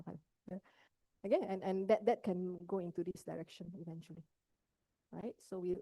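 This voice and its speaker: A woman's voice: medium pitch, dark tone, breathy edge, no room sound, low-quality recording. A woman from Indonesia, a psychologist giving a workshop talk on mental health, often checking in with her listeners as she explains.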